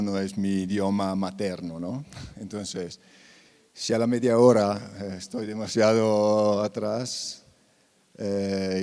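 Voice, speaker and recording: A man with a fairly low, mellow voice delivers an academic lecture in a long-reverb hall. He speaks haltingly, restarting phrases and filling pauses as he goes. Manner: halting, frequent fillers and self-corrections. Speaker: invited lecturer.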